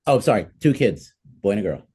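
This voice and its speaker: An American man in his forties with a low, dark, relaxed voice. He speaks casually as one of the panelists in a roundtable. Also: brisk, slightly rough. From New Jersey, United States. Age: 40s. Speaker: podcast panelist.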